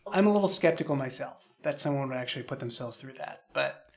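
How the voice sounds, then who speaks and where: A man's voice: medium pitch, expressive, brisk, creaky. A man talking casually in a behind-the-scenes discussion, explaining things with an occasional chuckle in his speech. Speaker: podcast creator in a cast discussion.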